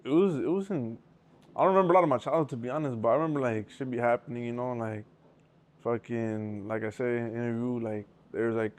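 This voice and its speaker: A man's voice: mid-range voice, quick pace, darkish tone. A young man from Southern California telling stories in a rambling, profane way full of street slang. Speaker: interview guest. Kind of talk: casual storytelling with heavy slang.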